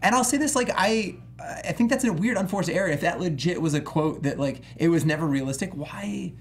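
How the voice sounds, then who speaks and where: Higher-voiced man, emphatic, with background noise. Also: lively intonation, brisk. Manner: emphatic, opinionated, slangy. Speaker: gaming talk show host. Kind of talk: casual panel discussion, news commentary.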